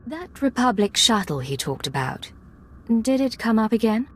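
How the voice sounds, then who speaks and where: An actress playing a self-assured secret agent in a video game, with a controlled, clipped, dry delivery. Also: female voice, on the high side, sing-song, normal speed, a little hiss or hum. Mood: businesslike. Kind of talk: video game spy acting.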